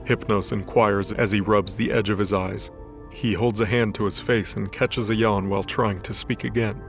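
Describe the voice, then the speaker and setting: A man's voice: fairly low pitch, fairly even intonation, normal speed. A man narrating a scripted dramatic story as the storyteller of an audio drama. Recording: voice over background music.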